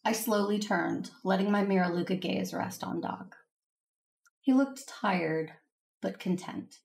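A woman's voice: mid-range voice, unhurried, gravelly, darkish tone. A woman playing an earnest, devoted female Jedi Master, narrating an audio drama in the first person. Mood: tender, hopeful.

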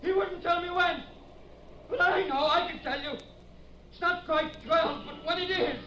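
A man's voice: extremely high pitch, fairly even intonation, measured pace, pushed and bright, heavily acted. A male actor playing a desperate, deluded and distrustful man in 1950s radio melodrama, pleading with strange insistence. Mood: dazed, eerie. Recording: muffled, echoing old radio recording with music.

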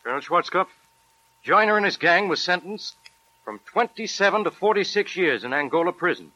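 High, bright-voiced case narrator. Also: male voice, lively intonation, brisk, no room sound, full character voice, rough recording. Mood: grave, factual. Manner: formal, factual. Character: formal male officer reporting a case. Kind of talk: 1940s radio scripted narration.

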